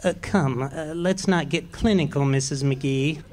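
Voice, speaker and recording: A timid, henpecked middle-aged husband with a soft, hesitant way of talking, as an actor puts him on. He speaks slowly and mildly in a live stage radio play, never raising his voice. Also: on the high side, slight background noise, lightly acted. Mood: meek. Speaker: amateur actor.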